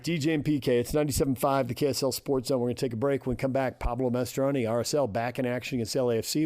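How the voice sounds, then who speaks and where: A man's voice: medium pitch, warm tone, no echo, studio quality. An American man hosting a sports radio show, talking casually and thinking aloud in short phrases.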